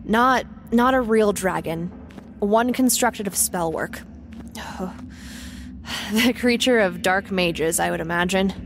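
A woman as a composed, creaky-voiced fantasy adventurer. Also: medium pitch, takes it slowly, slight background noise. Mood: weary, reassuring. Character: composed, knowing woman adventurer fighting with a whip. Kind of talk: fantasy audio drama acting.

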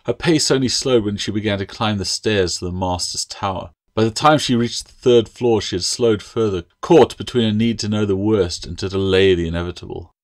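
A man's voice: fairly low pitch, a little fast, few pauses, no room sound, clean studio sound. A man reading his own fantasy novel aloud as audiobook narration.

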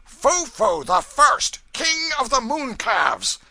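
Guy doing a ragged jeering tramp, shrieky mock-worship wailing, way up high, storybook style, swoops all over. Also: big pitch swings, slow pace, gravelly, sharp tone, dry recording, exaggerated voice. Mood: jeering shouts. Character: jeering mob of ragged vagabonds, mockingly worshipful.

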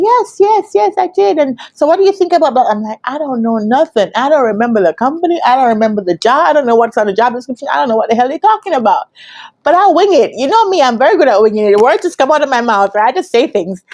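A woman in her thirties with a Jamaican accent, telling a personal story casually to camera in an animated, expressive way, acting out dialogue as she goes. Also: sits high. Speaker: business analyst trainer telling her career story. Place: quiet indoor room with curtains. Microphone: lapel.

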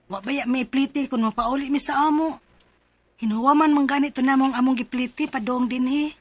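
A woman's voice: high voice, fairly even intonation, normal speed, no echo. An actress with a Cebuano accent playing a young female helper pleading with her boss in a radio drama. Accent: Cebuano Filipino.

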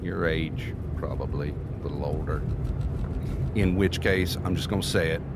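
An actor playing a jaded, sardonic deadbeat father in an audio drama, speaking in a dry, halting, sarcastic way. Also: extremely low pitch, steady tone. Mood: dry, blunt. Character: jaded, sardonic deadbeat birth father, self-described runaway. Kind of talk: naturalistic scripted audio drama acting. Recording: noisy outdoor ambience with car sounds.

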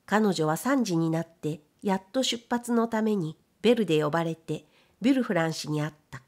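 A woman's voice: on the low side, expressive, moderate pace, a little mellow. A woman reading a literary novel aloud as an audiobook narrator, in a scripted storytelling style.

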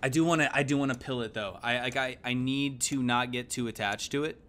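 A man, giving casual, slangy, animated live game commentary. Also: medium pitch, a little fast. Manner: animated, exclaiming, thinking aloud. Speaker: game streamer. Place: indoor room at home.

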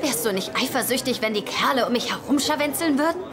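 A woman's voice: high-pitched, lively intonation, quick pace, crowd noise, background noise. An actress dubbing a witty, teasing young woman in a German sitcom. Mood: wry. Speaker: dub actress.

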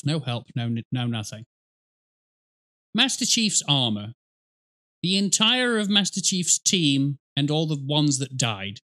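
A man's voice: on the high side, pushed and bright. A middle-aged man giving casual gaming commentary as a reaction host, rambling, sarcastic and sweary, close on the mic in a small room.

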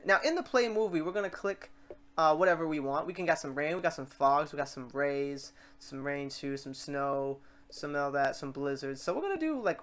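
A tutorial host talks casually through software steps, thinking aloud and correcting themselves along the way. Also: medium pitch. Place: voice-over on a screen recording.

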